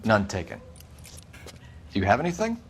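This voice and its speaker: A man's voice: fairly low pitch, wildly swooping pitch, moderate pace, a little hiss or hum. An actor playing a polite, earnest police detective new to his squad in a 1940s crime game, clipped and businesslike. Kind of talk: 1940s detective drama acting.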